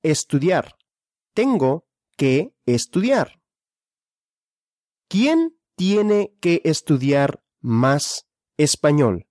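Very slow question-and-answer drill voice. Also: mid-range voice, big pitch swings, darkish tone, no room sound, clean studio sound. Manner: very slow, deliberate, asks then answers. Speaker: lesson drill speaker.

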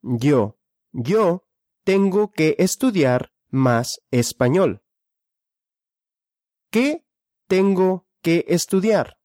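A speaker leading a question-and-answer language drill. The speaker asks each question, then answers it deliberately. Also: mid-range voice, animated, dark tone, no room sound, clean studio sound.

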